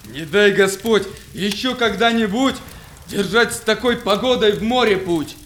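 High-voiced storm-battered Cossack sailor. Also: male voice, expressive, measured pace, a bit bright, a little hiss or hum. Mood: fearful relief. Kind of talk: rhymed verse audio-play acting.

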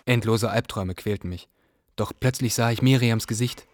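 Low-pitched actor as young male refugee narrator. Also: normal speed, a little hiss or hum, close to an everyday voice. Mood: earnest. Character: young male Iraqi refugee telling his hard odyssey. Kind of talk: first-person radio play narration.

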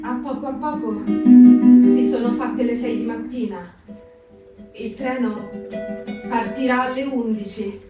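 Actress as high-voiced young deportee, far-off and echoing. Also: female voice, fairly even intonation, measured pace, long pauses, natural voice. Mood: calm, resolute. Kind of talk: theatrical dramatic reading of letters. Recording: roomy, distant pickup with guitar music.

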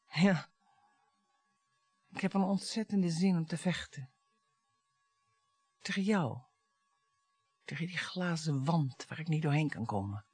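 A woman's voice: sits low, slow, long pauses, slightly rough, no echo, faint music behind, exaggerated voice. An actress in a radio play playing a bitter, taunting middle-aged wife and mother, sarcastic and dramatic. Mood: hushed, fearful. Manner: sarcastic, dramatic, swinging between hushed and biting.

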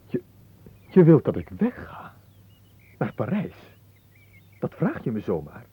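An actor playing an earnest, slow-witted lovesick suitor in a radio play, hesitant, plaintive and easily hurt. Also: male voice, medium pitch, wildly swooping pitch, breathy edge, dry recording, exaggerated voice. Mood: dismayed. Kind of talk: Dutch radio play acting. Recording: muffled, noisy old radio recording.

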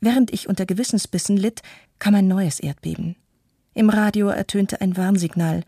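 A woman's voice: medium pitch, moderate pace, warm tone, no echo, clean studio sound, natural voice. A woman reading a worried mother's testimony in a radio play, subdued and introspective. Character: worried, self-doubting mother of a schoolboy. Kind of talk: scripted radio-play monologue, read testimony.

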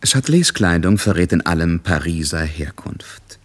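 A man's voice: deep voice, expressive, normal speed, breathy edge. A man giving composed, wry literary narration as the narrator of a radio play.

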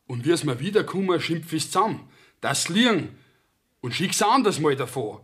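A young peasant defendant in a Bavarian dialect radio play. His voice sits a little high for a man. He is stubborn and plain-spoken, rambling along in simple rustic storytelling. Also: a bit bright.

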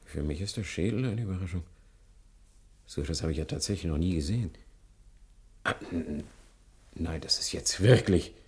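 An actor playing an older learned professor and father in a German radio play, speaking in a precise, deliberate and sometimes hesitant way. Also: sits low, darkish tone, slightly rough, full character voice. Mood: puzzled, intrigued.

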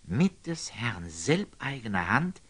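An actor playing a humble, simple, kind-hearted lay brother in a classical radio drama, speaking haltingly and deliberately. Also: male voice, mid-range voice, very animated, fairly bright tone, husky, exaggerated voice. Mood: earnest, pondering.

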